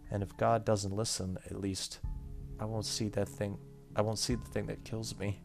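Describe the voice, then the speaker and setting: A man's voice: fairly low pitch, flat delivery, normal speed, gravelly. A man playing a horror game, reading its story text aloud dramatically and commenting casually. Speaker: gaming YouTuber. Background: game music.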